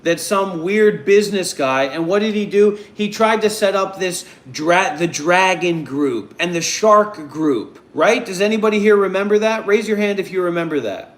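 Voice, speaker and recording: A man hosting a group voice call, speaking calmly and deliberately, often repeating himself to keep order. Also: high-pitched, reverberant. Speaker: host of a voice chat session.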